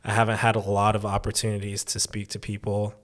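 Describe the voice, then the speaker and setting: A young man who grew up abroad, answering interview questions as a church intern, with many pauses and restarts. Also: fairly low pitch, completely flat delivery, fairly bright tone. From raised in Taiwan, born in the United States. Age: young adult. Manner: hesitant, many ums and restarts.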